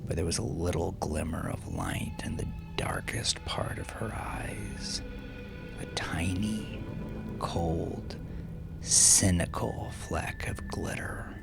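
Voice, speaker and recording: Deep gravelly guy reading a dark story, brooding and theatrical, music behind him. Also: huge pitch swings, takes it slowly, whispered. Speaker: narrator. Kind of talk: dramatic scripted fiction narration.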